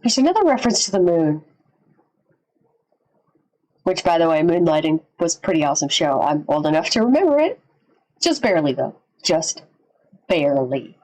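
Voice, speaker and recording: A woman giving casual live reaction commentary as a streamer, informal and sweary as she thinks out loud. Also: low-pitched, big pitch swings, moderate pace, long pauses, poor audio. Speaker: streamer reacting to and analysing horror videos.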